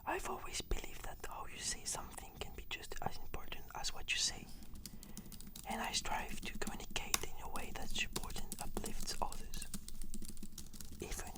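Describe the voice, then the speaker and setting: A breathy whisper, almost entirely unvoiced, in an ASMR style. The person plays a client giving measured, fluent scripted answers. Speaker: client answering questionnaire in a roleplay.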